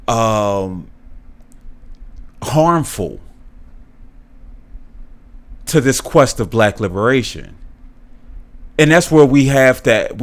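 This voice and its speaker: Earnest man giving solo podcast commentary. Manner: earnest, repeats and restarts words, rises in pitch when stressing points. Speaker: podcast host.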